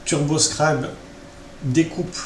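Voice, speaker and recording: A man's voice: on the high side, steady tone, extremely slow. A man hosting a casual screen tutorial, improvising and thinking aloud with frequent tag questions. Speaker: tutorial host. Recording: roomy echo, faint background noise.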